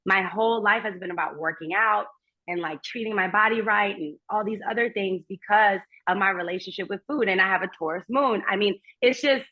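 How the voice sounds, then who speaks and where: A woman's voice: medium pitch, brisk. Woman, german is her first language, earnest long rambly runs, kinda muffled lo-fi remote sound. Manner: earnest, personal, talks in long flowing runs. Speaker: guest astrologer. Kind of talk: casual conversation. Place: remote, while traveling.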